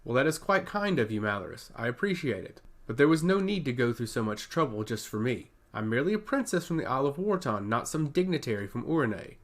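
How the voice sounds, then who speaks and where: A man acts out a poised young princess in a fantasy audio story. The speech is formal, polite and earnest, with a lively rise and fall in pitch. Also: fast, few pauses, natural voice. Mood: politely gracious.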